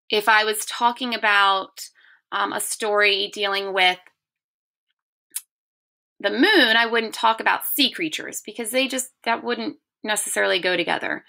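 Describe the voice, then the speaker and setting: Bright-voiced woman teacher, slow and clear-worded. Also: medium pitch. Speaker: second-grade teacher. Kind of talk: reading a children's story aloud and explaining the questions to pupils.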